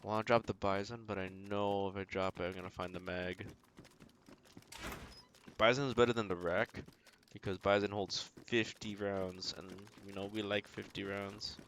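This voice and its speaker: A man's voice: on the low side, little pitch movement, moderate pace, a bit bright. A man giving casual gameplay commentary as a streamer, talking to himself in short remarks. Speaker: game streamer. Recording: noisy mic with clicks and keyboard typing. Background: keyboard typing and clicking.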